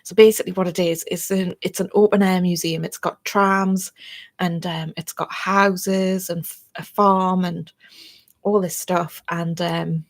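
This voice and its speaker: A woman's voice: on the low side, flat delivery, a little slow. A woman with a North East English accent chatting to camera in a meandering way, her throat a little froggy and chesty. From North East England. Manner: chatty and meandering, drifting between topics. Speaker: vlogger. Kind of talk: casual chat to camera.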